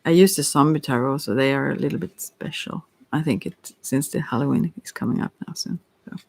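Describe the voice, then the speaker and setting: An ASMR tarot reader improvising a reading, hesitant and often pausing to search for words. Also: medium pitch, gravelly, darkish tone. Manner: hesitant, searching for words, with long thoughtful pauses. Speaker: tarot reader hosting a live session.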